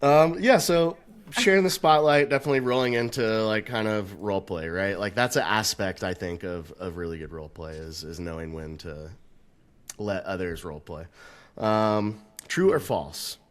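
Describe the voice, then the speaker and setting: A man's voice: fairly low pitch, moderate pace. A man co-hosting a casual tabletop gaming discussion, a dungeon master who thinks aloud with plenty of fillers. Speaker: podcast co-host and dungeon master.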